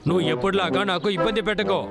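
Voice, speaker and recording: Man as a high-pitched bossy cartoon schemer. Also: fairly even intonation, racing, gravelly. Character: bossy male cartoon schemer eager for games. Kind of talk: animated children's cartoon dub. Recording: loud music behind the voice.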